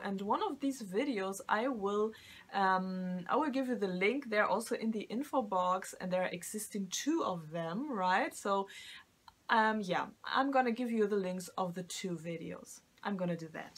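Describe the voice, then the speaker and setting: A woman's voice: fairly high pitch, a bit bright, slightly rough. A middle-aged woman and non-native English speaker, talking casually to camera as a tarot reader and vocal coach, with many fillers and restarts. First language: not English.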